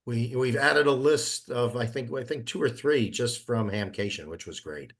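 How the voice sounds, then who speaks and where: A man chatting casually in an online meeting, talking in a halting way with repeated words. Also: mid-range voice. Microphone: teleconference call.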